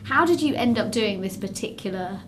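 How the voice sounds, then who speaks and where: A woman's voice: medium pitch, lively intonation, a little fast, background noise. A woman hosting a casual interview, informal and teasing with her guest. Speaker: interviewer, host. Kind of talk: casual chat.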